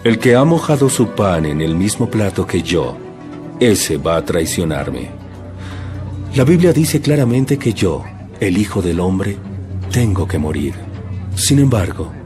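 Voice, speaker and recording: A man voicing a calm, solemn adult male teacher in an audio Bible drama, speaking slowly and gravely. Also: low-pitched, music in the background. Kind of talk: dramatized scripture reading.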